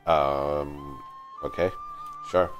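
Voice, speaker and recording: A man's voice: extremely low pitch, steady tone, extremely slow. A man giving casual, amused, exclamatory commentary as he streams a video game. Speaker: live streamer. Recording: game music behind the voice.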